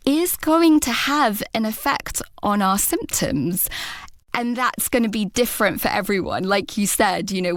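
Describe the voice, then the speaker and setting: A woman hosting an interview who talks in a thoughtful, personal way, with hesitations and soft laughs. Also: medium pitch, no room sound, studio quality. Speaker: host. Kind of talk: reflective conversational interview talk.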